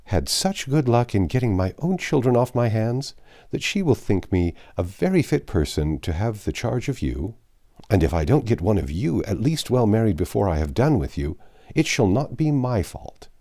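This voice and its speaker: A man's voice: lowish voice, warm tone. A man voicing a bustling, talkative older widow who chatters effusively.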